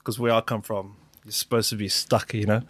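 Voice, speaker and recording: A man's voice: on the low side, little pitch movement, a little fast, a bit bright, dry recording, studio quality. Chatty New man. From New Zealand. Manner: loose and chatty, many fillers like "you know" and "yeah". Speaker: podcast guest. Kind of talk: casual podcast chat.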